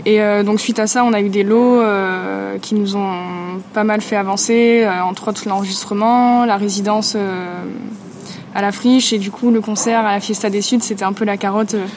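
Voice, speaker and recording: A woman's voice: mid-range voice, steady tone, normal speed, a little mellow. Chatty woman musician, faint noise. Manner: chatty, frequent fillers. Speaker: musician in a duo. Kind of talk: casual interview answers.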